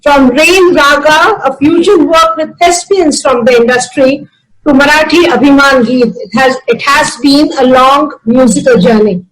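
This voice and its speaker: A woman's voice: sits high, unhurried, fairly bright tone, rough recording. An Indian woman with an Indian English accent, hosting an interview with a formal, polite introduction and questions. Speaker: interview host.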